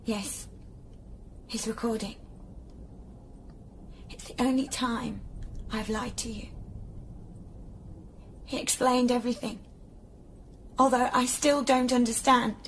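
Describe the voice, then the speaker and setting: An actress playing an anguished artificial woman who doubts who she is, fragile and halting, in an audio play. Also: a little high, fairly even intonation, a little hiss or hum. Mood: subdued, lost. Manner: halting, fragile, emotionally raw.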